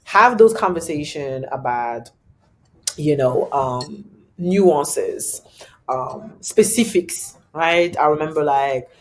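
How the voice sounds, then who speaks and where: A guest from Europe with a thick French accent, chatting casually on a podcast and telling animated stories full of "you know" fillers. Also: medium pitch, slow pace. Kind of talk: casual conversation.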